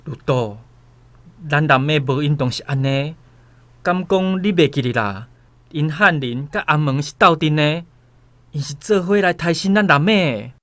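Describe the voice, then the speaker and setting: Actor as wary, high-voiced young Taiwanese brother. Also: male voice, normal speed, a bit bright, dry recording, close to an everyday voice. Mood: protesting.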